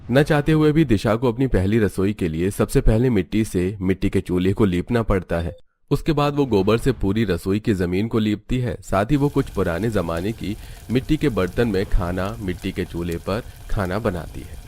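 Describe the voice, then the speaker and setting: A male storyteller with a low voice narrates a domestic cartoon tale. His delivery is scripted narration, set out as a story for viewers. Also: moderate pace, mellow, a little hiss or hum.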